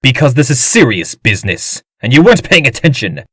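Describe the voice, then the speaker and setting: A man acts out a haughty aristocratic swordmaster for a comic dub. His pitch swings wildly as he taunts and scolds with blunt contempt. The sound is dry and close. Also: sing-song, fairly quick, bright, forceful tone, husky, no room sound, exaggerated voice. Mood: stern, taunting. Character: haughty, boorish, menacing aristocrat fencing master.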